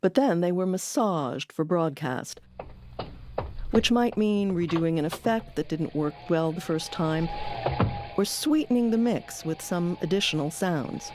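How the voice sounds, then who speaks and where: A woman's voice: lowish voice, animated, a little slow, background noise. A woman hosting an audio documentary, delivering scripted narration. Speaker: documentary host.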